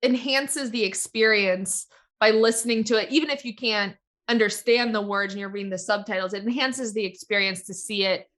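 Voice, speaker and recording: A woman co-hosting a podcast, chatty and quick to chime in during casual talk. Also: mid-range voice, fairly bright tone. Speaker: podcast co-host. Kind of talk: casual chat.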